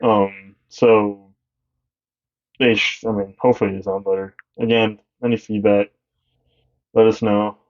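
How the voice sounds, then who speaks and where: A young man with a deep voice co-hosting a podcast in casual chat, rambling and halting with many fillers. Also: flat delivery, dull audio. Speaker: podcast co-host.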